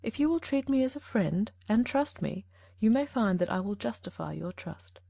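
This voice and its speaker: A shrewd detective speaks calmly and firmly, his voice gravelly and mellow, voiced by a woman reading the story. The sound is dry and close. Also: medium pitch, no echo, lo-fi sound. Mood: measured. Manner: measured, gently firm. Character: calm, shrewd male detective, gentle but firm.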